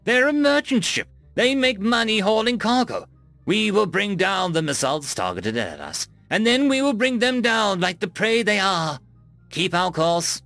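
A fierce female alien captain with eye stalks, as a man puts her on, proud and snarling. The voice rides up high and swings widely as she barks orders at her crew. It sounds dry and close, with faint music underneath. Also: lively intonation, moderate pace, a bit bright, no room sound. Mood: enraged.

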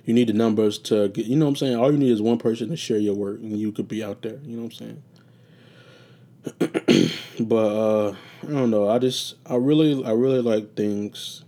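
Young male host, casual profane solo talk, tired and a bit raspy, dark mellow timbre. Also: on the low side, steady tone, moderate pace. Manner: loose, profane, meandering with many fillers. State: getting raspy and sleepy. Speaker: podcast host.

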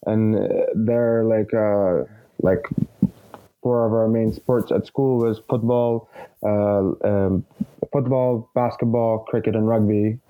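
A man's voice: fairly low pitch, steady tone, slow pace, mellow, low-quality recording. A man in his twenties from Egypt, a rugby player, chatting casually as a podcast guest, laughing often and using many fillers. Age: 20s.

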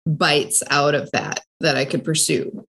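Lower-voiced woman playing a tabletop game. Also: fairly even intonation, measured pace, fairly bright tone, husky. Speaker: player on a game show podcast. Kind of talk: casual tabletop game chat.

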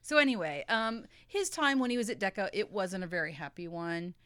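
A woman's voice: medium pitch, animated, brisk, a bit bright, clean tone, no room sound. A young woman co-hosting a casual podcast, telling a music history story in a dry, matter-of-fact way. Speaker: podcast co-host. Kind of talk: casual chat, reading history notes.

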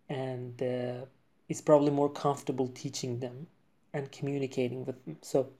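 A man's voice: mid-range voice, mellow. A man from Iran, an English speaker, hosting a solo podcast in a conversational, unscripted way. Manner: conversational, frequent fillers and restarts. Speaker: podcast host.

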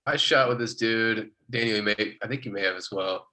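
A man's voice: lowish voice, brisk, sharp tone. A man in casual conversation as a working actor, informal and sweary, repeating words for emphasis. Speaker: working actor, podcast participant. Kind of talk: casual chat.

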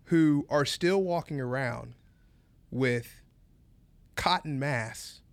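A man co-hosting a casual chat, animated, repeating himself and laughing often. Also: mid-range voice, slow, gravelly, dry recording. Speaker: podcast co-host.

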